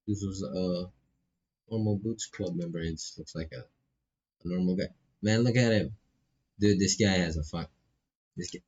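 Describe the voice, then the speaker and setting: A man's voice: sits low, a little mellow, a little hiss or hum. A man casually reading a game aloud and tossing in joking, slangy asides as a streamer. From Philippines. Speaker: gaming streamer. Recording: slightly dull, lo-fi mic. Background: faint game music.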